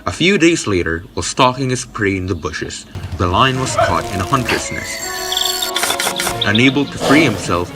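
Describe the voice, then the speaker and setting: A man's voice: lowish voice, lively intonation, moderate pace. A man narrating a scripted storybook tale as the storyteller. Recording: loud music behind the voice.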